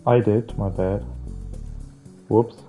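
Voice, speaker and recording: A young man with a lowish, mellow voice reads a visual novel aloud for a playthrough. His delivery is very flat and casual, with stumbles along the way. Faint music plays behind him. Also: phone-quality sound. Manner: halting, stumbling reading with casual slangy interjections. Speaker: gaming streamer. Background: faint game music.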